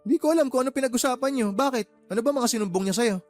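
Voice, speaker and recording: A man's voice: very high-pitched, racing, darkish tone, soft background music. A man playing a fed-up, exasperated uncle and boss in a Tagalog radio drama, scolding his sister with heated, sarcastic questions. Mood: defensive, irritated.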